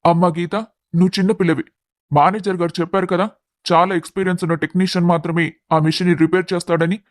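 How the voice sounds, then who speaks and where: A man's voice: high-pitched, level tone, quick pace, no echo, lightly acted. A man voicing a mild, earnest middle-aged family man in a narrated story. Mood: worried.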